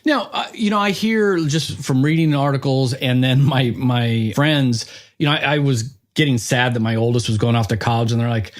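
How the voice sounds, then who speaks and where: A man's voice: medium pitch, steady tone, normal speed. A middle-aged man hosting an interview in a casual, chatty, informal way. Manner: chatty, self-referential, informal with frequent 'like' and 'you know'. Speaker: podcast interviewer, host.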